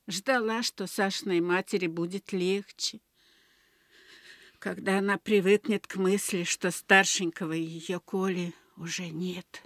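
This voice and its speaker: A woman's voice: on the low side, a bit bright, no echo. A woman playing a sorrowful, plain-spoken old village grandmother in a Soviet radio play. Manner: lamenting, plain-spoken storytelling. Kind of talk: Soviet radio drama acting.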